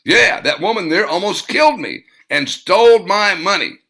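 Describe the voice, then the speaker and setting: A man's voice: high voice, animated, moderate pace, creaky, bright, forceful tone, voice pushed up, exaggerated voice. Shrieking indignant blonde woman, as a man puts her on. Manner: screaming, repeating herself.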